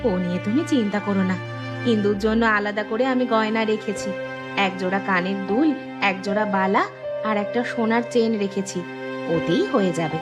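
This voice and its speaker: A woman voicing a devoted, selfless village wife, gentle and reassuring, in an animated story. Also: medium pitch, a little fast, natural voice. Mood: caring, reassuring. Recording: clear music behind the voice.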